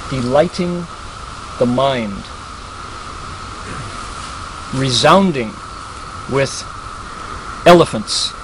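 Very slow solemn man reading, noisy background. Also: medium pitch. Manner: measured, pausing between short phrases. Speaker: reader at a monastic ceremony. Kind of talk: solemn scripture and verse reading. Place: gathering at a hermitage. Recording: clear background hiss and ambient noise.

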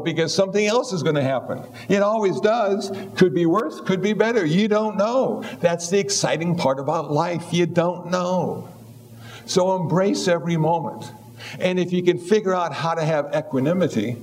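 Older man, 70s, Buddhist teacher; relaxed, self-mocking delivery, slightly higher-than-average voice. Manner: easygoing, wry, acts out little voices. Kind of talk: humorous dharma talk.

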